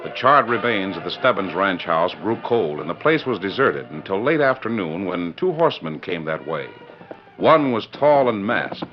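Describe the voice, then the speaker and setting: A man's voice: low-pitched, sing-song, normal speed. A man delivering dramatic scripted narration as the announcer of a 1940s radio show. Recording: muffled old radio recording. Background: music.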